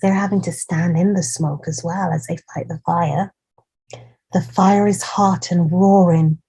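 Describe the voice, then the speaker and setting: A woman's voice: lowish voice, steady tone, unhurried, dark tone, slightly rough. A woman hosting a children's storytime, reading a picture book aloud and chatting to young listeners in an encouraging way as she points out details. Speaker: children's storytime host and reader.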